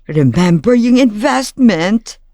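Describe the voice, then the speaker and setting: A woman's voice: medium pitch, expressive, slow pace, warm tone, no room sound. A woman playing a smug, eager social-climbing mother talking to her daughter in an audio drama.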